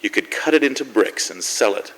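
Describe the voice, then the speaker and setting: A man's voice: fairly low pitch, lively intonation, a little fast, fairly bright tone. A man playing a brooding hardboiled narrator in a noir radio drama, recorded with long reverb. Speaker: opening narrator.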